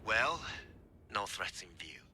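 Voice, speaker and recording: A man playing a stoic, deadpan ex-gangster assassin in a video game, measured and formal. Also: lowish voice, slight background noise, heavily acted. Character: stoic, deadpan ex-Yakuza male Assassin bodyguard. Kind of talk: naturalistic video game acting.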